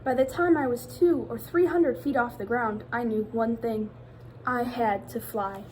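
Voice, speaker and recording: A woman with a fairly high voice reads a children's book aloud in an expressive style. She speaks straight to young listeners as a teacher would, over some background noise. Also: a little slow, rough recording. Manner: addresses young children directly with questions.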